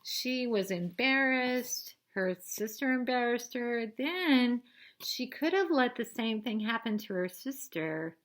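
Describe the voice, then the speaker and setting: Lady reading a kids book out loud, slow and expressive, chats to the listeners as she goes. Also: a little high. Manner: expressive, asks listeners questions. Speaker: storyteller reading aloud to children. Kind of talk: children's read-aloud narration with asides.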